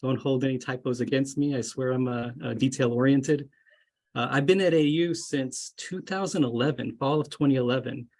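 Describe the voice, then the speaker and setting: A man's voice: mid-range voice, normal speed, darkish tone. A man in his thirties, an associate dean, talking casually to incoming students over a video call, friendly and rambling with many fillers. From grew up moving around, largely Florida. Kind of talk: casual webinar talk. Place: home or office, indoors.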